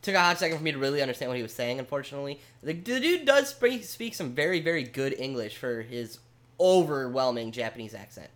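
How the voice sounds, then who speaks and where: Brief: male podcast co-host, mid-pitched, rambling and animated, swears casually. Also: quick pace. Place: home basement studio.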